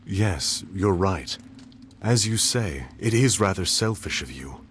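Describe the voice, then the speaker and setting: A man's voice: low-pitched, lively intonation, takes it slowly, fairly bright tone, slight background noise, heavily acted. An actor playing a cool, probing young nobleman in a game cutscene, speaking in a formal, precise, questioning way. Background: game soundtrack music.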